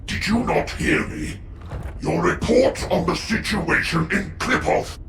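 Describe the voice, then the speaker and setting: An actor playing a proud, obsessed warlord in a video game, gravelly and commanding. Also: male voice, sits high, lively intonation, a little slow, exaggerated voice. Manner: commanding, imperious, forceful. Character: proud, obsessed male warlord sovereign of a dark legion. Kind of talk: dramatic video game acting. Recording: roomy echo, distant, faint noise.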